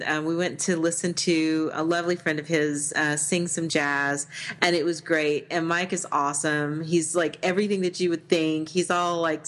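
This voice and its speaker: A woman's voice: deep voice, a bit bright. A woman co-hosting a podcast in casual chat, informal and giggly in her way of talking. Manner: informal, giggly, quick to laugh. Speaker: podcast co-host.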